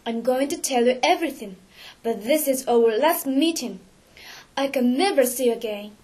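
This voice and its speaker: A woman's voice: high-pitched, normal speed, darkish tone, close to an everyday voice. A woman voicing a frightened, anguished young woman in an audiobook story, speaking urgently and dramatically. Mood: grave resolve.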